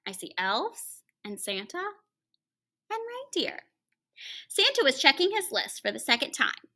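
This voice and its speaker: A woman reading a picture book aloud to children like a teacher, expressively, with dramatic pauses and hushed conversational asides to her listeners. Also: high-pitched, sing-song.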